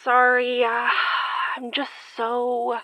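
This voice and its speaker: An actress playing a worried, sarcastic, sharp-tongued young woman in an audio drama, swearing in exasperation. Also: fairly high pitch, completely flat delivery, takes it slowly, no room sound, dull audio. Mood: sarcastic, stressed.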